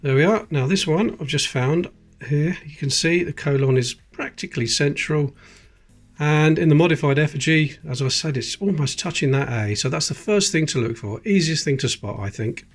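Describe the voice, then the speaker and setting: A British man with a fairly high voice chats casually as he sorts coins at a table, calling out dates one after another. Faint music plays behind him. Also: moderate pace. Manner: chatty, reads out dates as he goes. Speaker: coin collecting channel host. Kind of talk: casual commentary while sorting coins. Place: indoors at a table. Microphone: close camera, hands-only framing.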